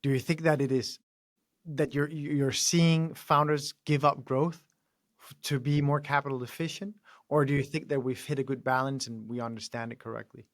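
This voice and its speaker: A man's voice: mid-range voice, steady tone, moderate pace, no room sound, clean studio sound. A man hosting a podcast, asking long, winding questions. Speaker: podcast host. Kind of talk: interview questions.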